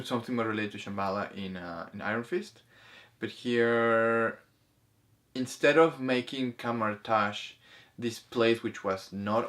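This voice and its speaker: A man's voice: mid-range voice, fairly bright tone. A man co-hosting a casual movie discussion, explaining things in a hesitant, self-correcting way. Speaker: co-host of a spirituality review show.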